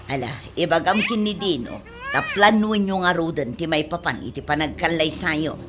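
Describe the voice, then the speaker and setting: Woman acting a teasing, lowish-voiced village mother. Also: expressive, moderate pace, music in the background. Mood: consenting. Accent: Ilocano. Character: middle-aged village mother, teasing and easily amused. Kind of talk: comedy radio drama acting. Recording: noisy background with clicks.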